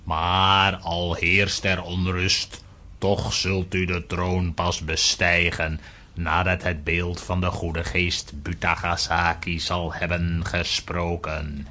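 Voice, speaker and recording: A man's voice: deep voice, flat delivery, takes it slowly, sharp tone, full character voice. A man playing a solemn royal astrologer and loyal adviser in a children's audio drama, speaking in a stately, ceremonious way.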